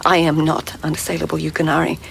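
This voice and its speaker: A woman's voice: sits low, monotone, fast, gravelly, a little hiss or hum. An actress playing a clever, devoted and proud court lady in a radio drama, speaking earnestly. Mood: agitated pleading.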